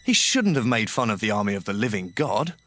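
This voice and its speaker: A man's voice: fairly low pitch, sing-song, fairly quick, fairly bright tone. An actor playing a bold, earnest young shepherd boy, speaking eagerly and emphatically in a dramatized audiobook.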